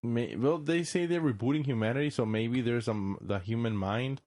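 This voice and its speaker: A man's voice: mid-range voice, moderate pace, darkish tone. A man co-hosting a casual podcast, loose and joking, laughing often. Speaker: podcast co-host. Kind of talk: casual chat.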